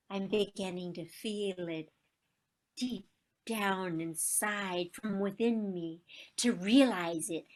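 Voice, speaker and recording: A woman acting a wistful, romantic elderly woman in a stage play, with dramatic, deliberate delivery. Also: medium pitch, fairly bright tone. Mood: fervent, hopeful. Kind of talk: one-act stage play performed on a video call.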